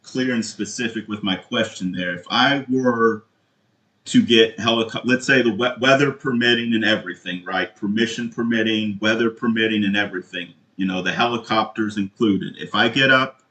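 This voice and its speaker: A man calling as a customer, asking questions in a halting, earnest and insistent way. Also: fairly low pitch, sharp tone. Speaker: caller, prospective customer. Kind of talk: phone inquiry, questioning. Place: indoor room, phone call. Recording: roomy echo on a recorded call.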